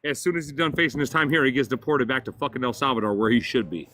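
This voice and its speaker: A middle-aged man arguing loudly and combatively in a heated political debate, cursing often, on a video call. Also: medium pitch, expressive, crowd noise. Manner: combative, profane, fast and loud. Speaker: debate caller.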